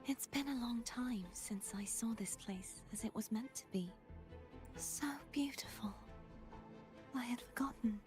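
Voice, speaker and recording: Woman acting gentle young game heroine, high soft breathy voice, earnest, music behind. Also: unhurried, close to an everyday voice. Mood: wistful.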